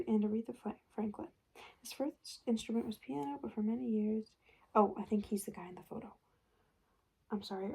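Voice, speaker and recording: Soft-spoken, mellow woman in ASMR roleplay. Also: fairly high pitch, poor audio. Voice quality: soft, breathy, often dropping into a whisper. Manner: hesitant, chatty, murmured reading aloud. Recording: noticeable background noise. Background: paper and tapping sounds.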